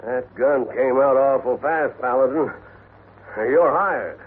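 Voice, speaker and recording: An actor playing a businesslike, wary railroad agent with brisk, plain-spoken delivery in 1950s western radio style. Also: male voice, medium pitch, breathy edge. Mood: startled, impressed. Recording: tinny, noisy old radio recording.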